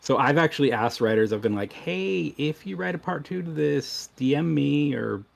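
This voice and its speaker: A man's voice: on the high side, expressive, normal speed, vocal fry. A man chatting informally as a voice actor on a podcast panel. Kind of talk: casual panel chat.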